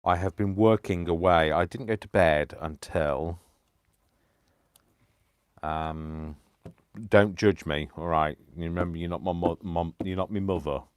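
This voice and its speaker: A man hosting a live crafting stream, chatting casually and poking fun at himself, tired and clearing his throat. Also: sits low, measured pace, no echo, clean studio sound. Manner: chatty, self-deprecating, talks to himself. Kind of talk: rambling casual chat while crafting.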